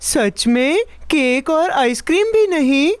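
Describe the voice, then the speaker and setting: A woman's voice: high-pitched, animated, takes it slowly, no room sound, soft background music. A woman voicing an eager, impatient, food-loving little boy in a children's cartoon dub, with a childlike, plaintive, exclaiming delivery. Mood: worried.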